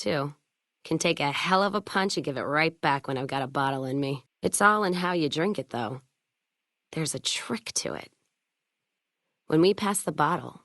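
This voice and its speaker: A woman's voice: deep voice, a bit bright, no echo, studio quality. A woman voicing a hard-drinking, hot-tempered, cynical caravan trader in a video game, with a blunt, sardonic, salty and world-weary delivery. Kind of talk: video game character dialogue.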